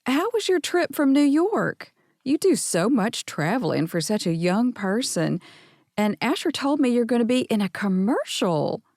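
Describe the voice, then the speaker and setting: A woman plays a bubbly middle-aged mother who gushes and chatters, higher and louder than her narration. She is warm and excited, and the read is close and dry. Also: measured pace, no echo, full character voice. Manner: effusive, chattering.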